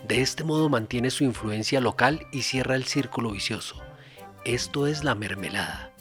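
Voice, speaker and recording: A man reads documentary narration from a script. The voice is average in pitch, with faint music underneath. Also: fairly bright tone.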